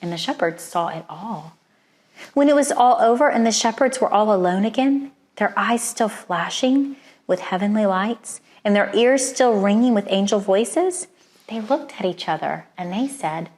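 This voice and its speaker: A woman's voice: medium pitch, animated, normal speed, darkish tone. Woman reading a children's storybook expressively. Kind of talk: expressive read-aloud children's storybook narration.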